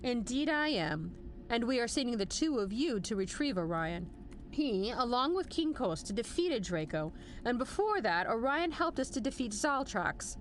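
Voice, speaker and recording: A woman's voice: a little high, big pitch swings, moderate pace, slight background noise. A woman playing a stern military general in an audio drama, formal and authoritative with a dry, wry edge. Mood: grave, deliberate. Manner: formal, authoritative briefing delivery. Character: stern, commanding female military general, measured and dryly wry. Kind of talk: scripted audio drama acting.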